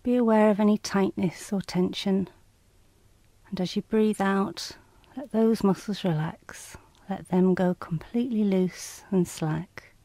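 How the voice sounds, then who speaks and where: A woman reading scripted guided relaxation instructions in a calm, measured, soothing and slightly clinical manner. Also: mid-range voice, no echo.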